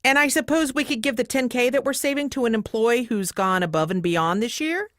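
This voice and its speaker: An actress playing a brisk, wry, diplomatic office manager in an audio comedy. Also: female voice, a little high, no echo, clean studio sound, close to an everyday voice. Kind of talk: comedic audio drama acting.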